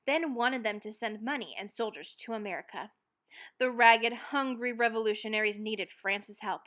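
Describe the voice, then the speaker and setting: A woman reading a children's book aloud as narrator, expressively and dramatically, leaning on key words and pausing for effect. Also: a little high, fairly bright tone. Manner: dramatic, with stressed words and pauses. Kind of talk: expressive scripted storybook reading.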